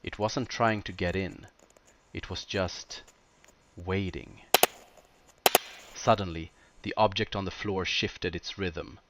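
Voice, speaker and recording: A man delivers scripted first-person horror narration in deliberate short phrases with dramatic pauses. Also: sits low, fairly even intonation, highs cut off, background noise.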